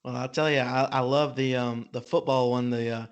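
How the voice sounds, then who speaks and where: A man hosting a casual podcast interview, speaking in a halting, informal way. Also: medium pitch, steady tone, clean tone. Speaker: podcast host.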